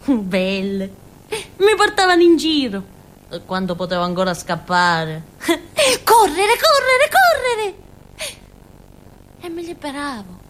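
An actress playing a naive, giggly village outcast woman with a Calabrian accent, in a halting, repetitive theatrical monologue. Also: high-pitched, huge pitch swings, fairly bright tone, full character voice. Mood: naive, wistful, then excited, tearful. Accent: southern Italian, Calabrian.

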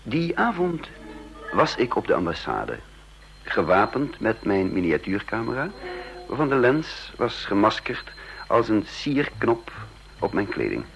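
A man's voice: lowish voice, wildly swooping pitch, measured pace, vocal fry, fairly bright tone, faint music behind, heavily acted. Een man die in een hoorspel een onrustige geheim agent speelt, die aarzelend en piekerend zijn eigen raadsel vertelt, op een oude radio-opname. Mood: tense, reflective. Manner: brooding, hesitant, self-questioning. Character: uneasy male secret agent narrating his own puzzle. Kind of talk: radio drama acting, first-person narration. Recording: dull lo-fi old radio recording.

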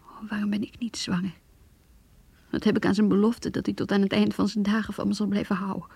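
An actress playing a heartbroken, quietly bitter mistress in a radio drama monologue, very breathy, hushed and sorrowful. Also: female voice, mid-range voice, flat delivery, a little fast, no echo. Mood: anguished. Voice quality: very breathy, often near whisper. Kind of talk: radio drama inner monologue, diary reading.

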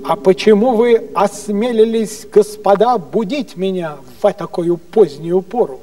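A man voicing a proud, stern old count in a measured, formal way, as part of a history tale. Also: sits high, mellow, long reverb tail. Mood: indignant.

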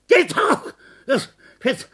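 A woman's voice: slow pace, fairly bright tone. An actress playing a delirious, terrified woman who is suffocating in a fever, gasping out broken, pleading phrases over and over. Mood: panicked. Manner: pleading, panicked, repeating words. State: out of breath. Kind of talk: dramatic acting.

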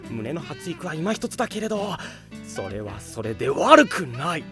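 An actress playing a lecherous, smug, theatrical peeping tom in an anime audio drama, suave and melodramatic. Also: female voice, deep voice, very animated, moderate pace, soft background music. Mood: leering, smug.

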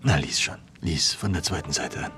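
Tiefer, grüblerischer kranker Schriftsteller. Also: male voice, deep voice, lively intonation, pushed and bright, faint music behind. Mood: weary, insistent. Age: middle-aged. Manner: halting, brooding, urging. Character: ailing, self-doubting writer and court official, restless and sardonic. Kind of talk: German radio play acting.

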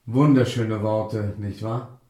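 Refined, solemn gentleman, low, roomy sound. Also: steady tone, takes it slowly. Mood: solemn, reverent. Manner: formal, courteous, solemn. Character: refined, pious, courteous gentleman lodger in Victorian London. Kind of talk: German radio drama acting. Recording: roomy, echoing interior sound.

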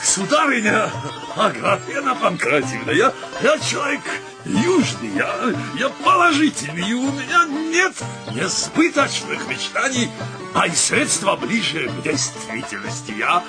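An actor playing an eccentric, excitable suitor in a comic radio play, halting and emphatic as he repeats his words, with music behind him. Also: high-pitched, heavily acted. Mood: eager, fervent.

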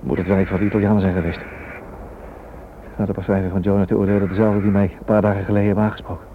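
A man's voice: sits low, level tone, fast talker. A man acting a serious, protective character in a radio thriller. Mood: grave. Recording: thin lo-fi radio recording, strong noise.

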